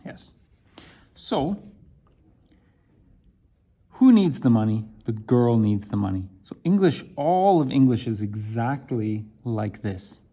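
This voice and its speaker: A man teaching an English lesson to camera, talking slowly and deliberately and checking in with "right?". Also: mid-range voice, sing-song, mellow. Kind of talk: explaining a language lesson to camera.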